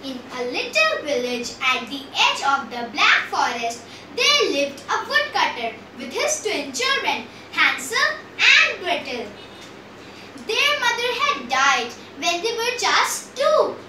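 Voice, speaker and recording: A storyteller telling a fairy tale in an expressive, theatrical way. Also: high-pitched. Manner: theatrical, animated delivery. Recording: echoey room, distant mic, faint noise.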